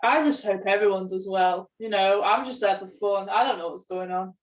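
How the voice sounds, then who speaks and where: A woman's voice: medium pitch, moderate pace. A woman co-hosting a podcast in a casual, conversational style. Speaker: podcast co-host, gaming video creator. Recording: echoey room, distant from mic.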